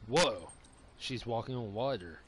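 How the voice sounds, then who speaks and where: A man giving casual, halting live commentary as a game streamer. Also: mid-range voice, animated, background noise. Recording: game music loud behind voice. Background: game music with plucked guitar.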